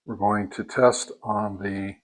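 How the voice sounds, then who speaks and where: An older American man giving a casual, unhurried product review, explaining things step by step. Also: on the low side, flat delivery. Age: older, 60s or above.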